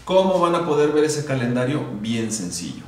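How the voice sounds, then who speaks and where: A man's voice: medium pitch, sing-song, moderate pace, noisy background. A man co-hosting a live cooking class, chatty as he reads viewer questions and promotes the channel. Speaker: livestream co-host reading viewer comments. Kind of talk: casual live stream hosting. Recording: echoey kitchen livestream.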